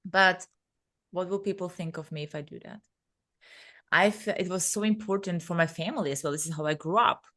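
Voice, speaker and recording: A woman's voice: on the low side, fairly quick, a bit bright. Woman in her thirties telling personal stories. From Vienna, Austria, born in Serbia. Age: 30s. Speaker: podcast guest, speaker and coach. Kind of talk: conversational personal storytelling.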